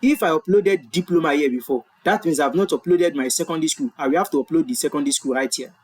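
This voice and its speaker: Man, Nigerian accent, screen-share tutorial, patient step-by-step explaining, slightly high voice. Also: quick pace, darkish tone, breathy edge. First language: Yoruba. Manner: explanatory, repeats and self-corrects. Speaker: tutorial presenter. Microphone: webcam in corner of screen recording.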